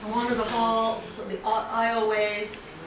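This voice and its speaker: A woman's voice: fairly high pitch, little pitch movement, a little slow. Middle-aged American woman professor, chatty lecture style, mic distant in a very echoey, noisy hall. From United States. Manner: chatty, self-correcting, teasing asides. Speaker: professor giving a guest lecture. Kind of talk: casual lecture to students.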